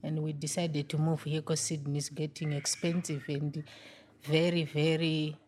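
A woman with a low voice and a Zimbabwean accent, middle-aged, giving an interview as a mother. Her first language is Shona, and she speaks earnestly and circles back to stress her points. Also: little pitch movement, moderate pace, darkish tone, slight background noise. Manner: earnest, repeats key points. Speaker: mother in a migrant community group. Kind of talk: interview answer.

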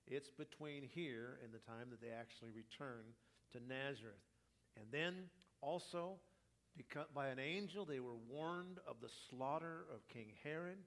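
Slightly raspy male pastor preaching. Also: medium pitch. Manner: teaching, leads congregation in reading. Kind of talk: sermon.

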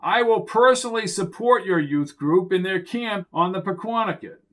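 A man voicing a stubborn, combative priest with a forceful, declamatory pulpit delivery in an audiobook story. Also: high-pitched, lively intonation, moderate pace, pitched above the performer's own voice. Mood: insistent.

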